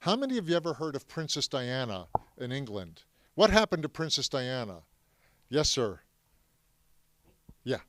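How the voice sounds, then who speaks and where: A man whose first language is French, telling his family's Holocaust story to a live audience in a lively way, often asking the crowd questions. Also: mid-range voice, normal speed, pauses often, dry recording, clean studio sound.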